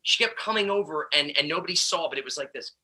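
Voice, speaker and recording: A man's voice: fairly high pitch, expressive, fast, pushed and bright, breathy edge, poor audio. A man in his thirties, a musician guest, joking and teasing his way through casual banter. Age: 30s. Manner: joking, teasing, says dude a lot.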